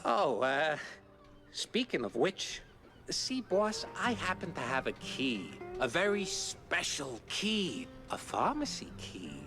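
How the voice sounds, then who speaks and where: A man's voice: a little high, sing-song, slow, full character voice. A man voicing a fawning, shifty young rodent informant in a 1930s-style cartoon game, stammering and wheedling. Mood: sly, wheedling. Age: young adult. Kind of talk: 1930s cartoon gangster-film acting. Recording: music behind the voice.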